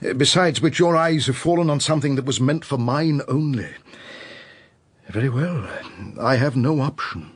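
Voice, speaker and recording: An English actor in a radio drama, playing a pompous, self-dramatising matinee idol with florid, orotund delivery. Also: male voice, medium pitch, moderate pace, breathy edge, natural voice. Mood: anxious, imploring. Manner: florid, pompous phrasing with hesitations. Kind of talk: BBC radio drama acting.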